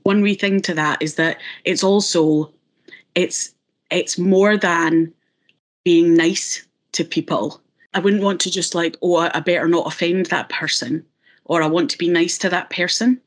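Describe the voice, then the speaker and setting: A woman speaking on a panel discussion in an animated, chatty way, telling anecdotes. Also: fairly low pitch, unhurried.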